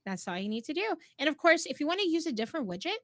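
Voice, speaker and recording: Woman, bright voice, slightly higher pitch, dry close mic, upbeat tutorial talk, a bit worn voice. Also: sing-song, very fast, no room sound. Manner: upbeat, affirming, walks viewers through steps. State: slightly losing her voice. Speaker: co-host, platform guide. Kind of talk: live stream tutorial.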